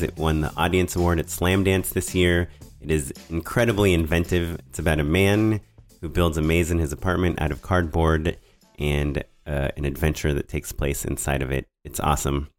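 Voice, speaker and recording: A man co-hosting a filmmaking podcast, nasal-sounding from a cold, talking in a relaxed, casual way. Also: rumbling low. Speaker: podcast co-host.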